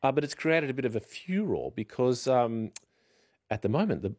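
A man's voice: mid-range voice, sing-song, moderate pace, a little mellow, no echo, clean studio sound. A man presenting news on radio, telling a light story playfully with many ums. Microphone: studio microphone.

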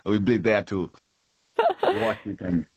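A man's voice: on the low side, huge pitch swings, slow pace, darkish tone, husky. A man from Tanzania, a musician interviewed on radio, talking in a hesitant, friendly way. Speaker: musician, guest.

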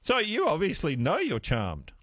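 Animated radio host man. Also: on the high side, normal speed, dry recording, clean studio sound. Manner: animated, chatty, laughing easily. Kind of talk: talkback radio quiz.

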